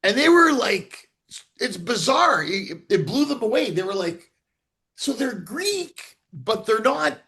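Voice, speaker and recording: A man chatting on a podcast, telling stories in an animated way and quoting others. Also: very high-pitched, slow, creaky. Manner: animated storytelling with quoted voices. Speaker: podcast host. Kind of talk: casual chat.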